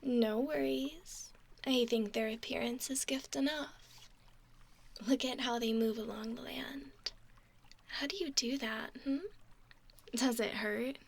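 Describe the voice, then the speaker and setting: A woman's voice: a little high, steady tone, slow pace, heavily acted. A woman voicing an alluring, mischievous young mermaid in a whispery ASMR roleplay, coy and teasing as she speaks. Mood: fascinated, teasing. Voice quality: breathy, often whispery.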